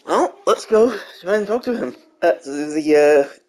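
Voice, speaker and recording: A man casually reacting to game cutscenes as a let's player, in short, halting remarks. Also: a little high, lively intonation, darkish tone. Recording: game music behind the voice.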